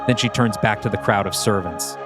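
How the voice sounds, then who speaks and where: Low-pitched man narrating over music. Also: brisk. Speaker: narrator of an audio play. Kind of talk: scripted screenplay-style narration. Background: background music.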